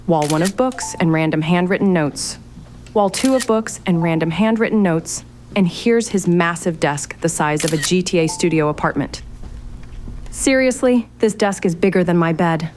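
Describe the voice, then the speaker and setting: Woman acting a wry young female sleuth, lowish voice. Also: lively intonation, normal speed, darkish tone, slight background noise, close to an everyday voice. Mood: wry, determined. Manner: wry, sarcastic, exasperated muttering to herself. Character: sardonic, curious young woman investigating her late relative's mysterious house. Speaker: lead actor in an audio drama. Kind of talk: naturalistic fiction podcast acting, thinking aloud into voice memos.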